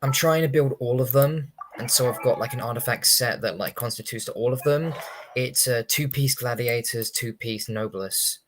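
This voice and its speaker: A man's voice: medium pitch, normal speed, slight background noise. A young man giving casual, rambling, hesitant commentary on a game livestream. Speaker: game streamer. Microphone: streaming microphone, also picking up game audio. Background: faint game music.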